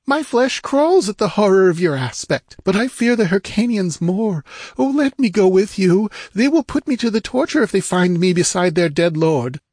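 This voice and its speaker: A man's voice: expressive, moderate pace, a little mellow, dry recording, clean studio sound. A man voicing a terrified young woman. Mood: pleading. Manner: high, breathless pleading.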